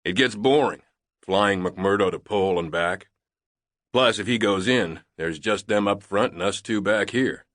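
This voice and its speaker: A male narrator playing a glum, gruff airplane loadmaster who talks tersely. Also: lowish voice, a little slow, a bit bright, dry recording, studio quality. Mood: laconic. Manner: terse, laconic.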